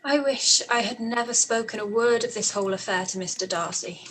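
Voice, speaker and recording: A woman's voice: a little high, brisk, fairly bright tone, a little hiss or hum, natural voice, low-quality recording. A woman playing an earnest, outspoken young Regency woman in a period radio play, with formal diction. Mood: anguished. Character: Lizzy, anguished, outspoken young Englishwoman of Regency times.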